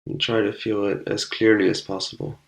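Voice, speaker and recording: A man leading a guided meditation, speaking calmly and unhurriedly with long pauses between short instructions. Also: lowish voice, vocal fry, poor audio.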